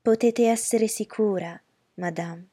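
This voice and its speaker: A woman's voice: mid-range voice, dark tone, breathy edge, voice pushed up. A woman doing a coldly polite young lady. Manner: slow, cold courtesy.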